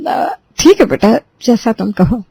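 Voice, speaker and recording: A woman voicing a soft-spoken middle-aged mother-in-law who coaxes and gets flustered. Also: fairly high pitch, expressive, unhurried, vocal fry, breathy edge, heavily acted. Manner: coaxing, flustered.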